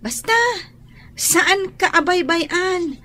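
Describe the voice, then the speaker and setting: A woman's voice: very high-pitched, very slow, pushed and bright, heavily acted. A woman acting as a devoted, protective schoolgirl in an Ilocano radio drama. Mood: tender, protective. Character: devoted caring schoolgirl guiding her blind sibling.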